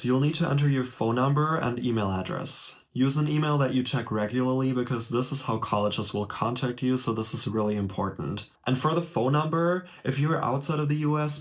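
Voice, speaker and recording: A young man from Germany, a native German speaker, walking viewers through a college application form in a friendly, direct tutorial. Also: medium pitch. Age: young adult. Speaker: vlogger explaining a college application. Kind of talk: casual tutorial walkthrough. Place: small indoor room.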